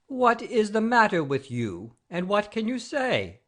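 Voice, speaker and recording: A man's voice: sits high, wildly swooping pitch, measured pace, fairly bright tone, full character voice. An actor playing a pompous, easily offended noble father-in-law in a classic comedy audio play, haughty and blustering. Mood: haughty, scolding.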